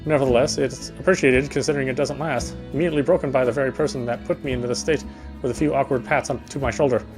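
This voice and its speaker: A man's voice: medium pitch, fairly even intonation, fast, music in the background. A man reading first-person visual novel text aloud as narration, stumbling now and then over words.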